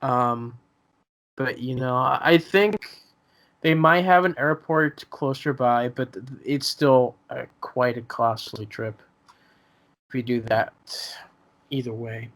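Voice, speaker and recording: A man's voice: medium pitch, steady tone, slow pace, a bit bright. A man in his early twenties talks casually in a mid-range voice. He is a little hesitant and often repeats a word before carrying on. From South America. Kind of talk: casual chat.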